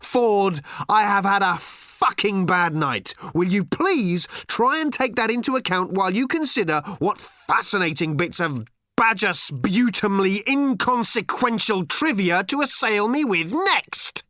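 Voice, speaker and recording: A man's voice: mid-range voice, big pitch swings, unhurried, fairly bright tone, no room sound, studio quality, pitched below the performer's own voice. A man voicing an exasperated, weary, sarcastic man in a storybook reading, rambling and prone to bellowing outbursts. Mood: sarcastic, furious.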